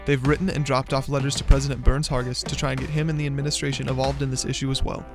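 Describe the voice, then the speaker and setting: A man reading a scripted student news report in an even, brisk delivery. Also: medium pitch. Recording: voice-over with faint music.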